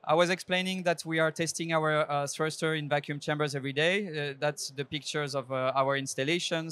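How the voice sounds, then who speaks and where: A man in his thirties pitches his company from a trade show stage. His voice sits slightly high for a man and sounds dry and close on a handheld mic. He talks earnestly and steadily, with small fillers and restarts. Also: a bit bright, clear, resonant tone, no echo. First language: French. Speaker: startup CEO pitching. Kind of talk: startup pitch presentation. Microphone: handheld microphone.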